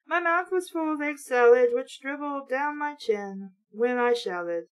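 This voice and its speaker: A woman's voice: high-pitched, unhurried. A woman reading aloud casually with blunt, sweary, sarcastic running commentary in a ranting manner.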